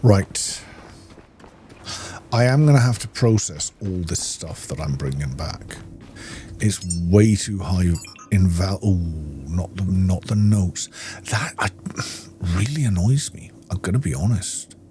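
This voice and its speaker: Slow, low-voiced man narrating his gameplay. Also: animated, warm tone, slight background noise. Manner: rambling, thinking aloud. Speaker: gaming video host. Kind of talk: casual gameplay commentary.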